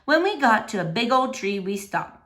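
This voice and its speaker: A woman narrates a story for children. Her voice is on the high side. She reads very expressively, with wide swings in pitch from phrase to phrase. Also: sing-song, moderate pace, fairly bright tone.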